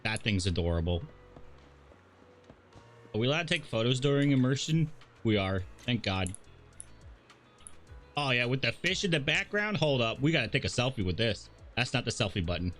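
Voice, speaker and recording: A man's voice: medium pitch, very animated, normal speed, pauses often, fairly bright tone. A man livestreaming a game, reading along and commenting casually in a playful, offhand way. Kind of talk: casual game commentary and read-aloud dialogue. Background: game music.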